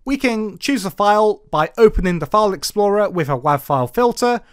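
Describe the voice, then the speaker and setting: A man presenting a software tutorial as a voice-over, explaining step by step in an informal, partly scripted way. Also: sits high, animated, fairly quick, smooth. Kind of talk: scripted programming tutorial narration with informal asides. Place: voice-over to a screen recording.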